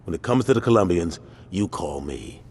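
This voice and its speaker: A man's voice: low voice, very animated, normal speed, a little mellow, big-room sound, slight background noise. An actor playing a stern, cold male gang leader who gives orders in a video game cutscene. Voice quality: firm, commanding.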